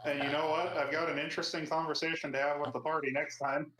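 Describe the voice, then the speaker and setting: A man's voice: on the high side, flat delivery, fast talker, a bit bright. Echoey man in a tabletop game. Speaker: tabletop roleplaying player. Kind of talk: casual in-game narration and chat.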